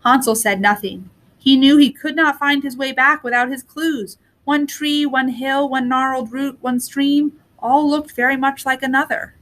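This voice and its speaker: High-voiced woman reading a storybook. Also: steady tone, moderate pace, fairly bright tone. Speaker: storybook reader. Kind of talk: expressive scripted storytelling.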